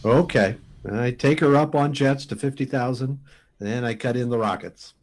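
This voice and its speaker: Breezy mid-pitched actor as a male test pilot. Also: moderate pace, close to an everyday voice. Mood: breezy, confident. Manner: breezy, wry. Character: cocky, wisecracking male rocket test pilot. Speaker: radio drama actor. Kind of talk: 1950s radio drama acting.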